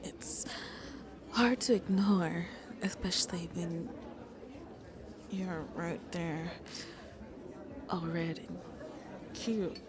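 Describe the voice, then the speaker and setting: A woman acts out a shy, gentle vampire woman in an ASMR roleplay, youthful despite her long life. Her voice is on the low side and unhurried, halting and apologetic, slipping into breathy near-whispers. A crowd murmurs clearly behind her. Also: steady tone. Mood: tempted. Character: shy, gentle 150-year-old female vampire who seems mid-twenties. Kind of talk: soft-spoken ASMR roleplay.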